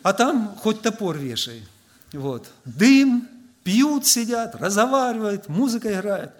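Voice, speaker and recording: A man's voice: high-pitched, very animated, slow pace. A man preaching a sermon to his congregation, telling stories in a loose conversational way full of filler words. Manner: conversational, anecdotal, frequent filler "vot".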